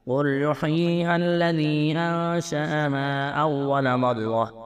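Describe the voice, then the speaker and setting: Smooth, slow-chanting male Quran reciter. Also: on the high side, steady tone, soft background music. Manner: measured, drawn-out verse endings.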